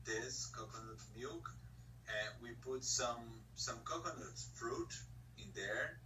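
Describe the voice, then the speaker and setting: A man from Brazil with a Brazilian Portuguese accent, an English learner who pauses and searches for words. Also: medium pitch, pushed and bright, echoey room, far from the mic, slight background noise, poor audio. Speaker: English student. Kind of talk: online conversation lesson.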